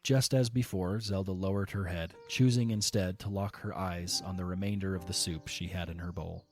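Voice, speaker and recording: Deep-voiced male storybook narrator. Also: faint music behind. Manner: calm, measured reading.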